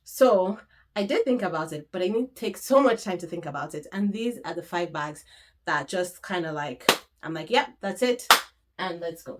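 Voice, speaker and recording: A woman's voice: medium pitch, very noisy. A woman in her thirties, sniffly with a cold, chatting casually to the camera about her weekend and bags. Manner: chatty, gesturing with her hands. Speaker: vlogger. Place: room in front of a handbag shelf. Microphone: camera in front.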